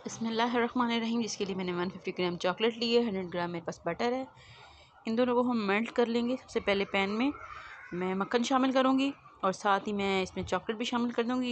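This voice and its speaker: A woman giving a casual recipe voice-over as a home cooking host. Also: mid-range voice. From Pakistan. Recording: clearly noisy homemade voice-over recording.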